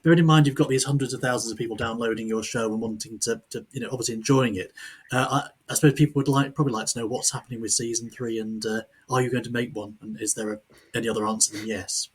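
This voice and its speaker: A man's voice: medium pitch, fairly even intonation, quick pace, husky. A man hosting a conversational podcast interview, putting questions in a roundabout, jokey way. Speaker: podcast host.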